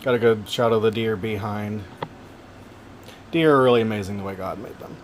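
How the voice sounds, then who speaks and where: A man with a relaxing voice casually narrating wildlife camera footage, gentle and folksy with joking asides. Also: on the low side, moderate pace, a little hiss or hum. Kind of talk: casual narration over video.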